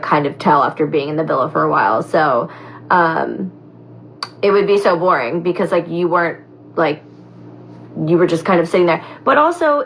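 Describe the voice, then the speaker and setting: A raspy young woman answering fan questions in a casual, animated chat, full of "like" and "um". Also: lowish voice, a little hiss or hum, rough recording. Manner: animated, chatty, heavy on fillers like "like" and "um". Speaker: former reality show contestant.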